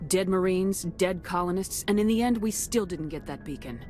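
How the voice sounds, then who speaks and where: A woman's voice: fairly low pitch, lively intonation, moderate pace, warm tone, faint music behind, natural voice. A woman playing a stern, composed military starship commander in a video game, speaking with firm, clipped authority. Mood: somber. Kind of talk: video game dialogue acting.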